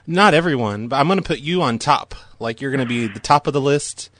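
A man's voice: mid-range voice, expressive, a little fast, a bit bright, dry recording. A man making a prank phone call, playful and teasing, keeping up a mock-earnest act. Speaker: prank caller.

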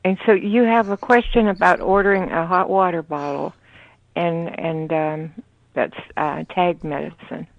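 A woman's voice: lowish voice, fairly even intonation, unhurried, vocal fry, no room sound. An older woman chatting on a late-night phone call, rambling with many hesitations. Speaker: prank call target. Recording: dull phone-line audio.

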